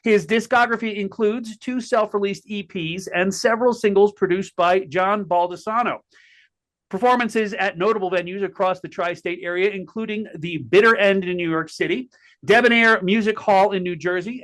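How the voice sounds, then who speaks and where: A man's voice: high-pitched, moderate pace, a bit bright. A man from Connecticut hosting a podcast, talking in a folksy, chatty way that stumbles over repeated words. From Connecticut, USA. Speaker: podcast host. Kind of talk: casual interview talk.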